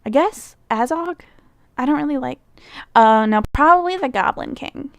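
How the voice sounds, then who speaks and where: A woman's voice: high-pitched, lively intonation, normal speed, vocal fry, dry recording, studio quality. A young woman from Michigan with a Midwestern American accent, chatting casually through a fan questionnaire, rambling with lots of fillers and self-corrections. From Michigan, United States. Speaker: vlogger.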